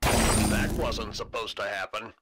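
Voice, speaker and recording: A man's voice: on the low side, little pitch movement, slow, heavy background noise. A man voicing a pompous, smug, dramatic movie-trailer announcer for a video game voice pack. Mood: grandiose, smug.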